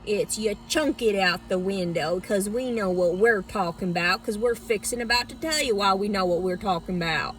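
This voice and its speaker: A woman's voice: medium pitch, fairly quick, hardly any pauses, natural voice. A woman putting on a preachy, gluttonous Southern churchgoing woman with an exaggerated drawl, sarcastic and folksy in a satirical monologue. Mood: bossy, folksy. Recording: distant mic, clear background noise.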